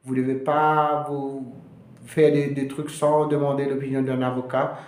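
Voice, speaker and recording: A man's voice: mid-range voice, little pitch movement, moderate pace, darkish tone. Hesitant man, echoey room. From Mauritius. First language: Mauritian Creole. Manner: hesitant, many fillers, slips into English terms. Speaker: immigration lawyer. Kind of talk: casual explainer to camera. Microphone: camera.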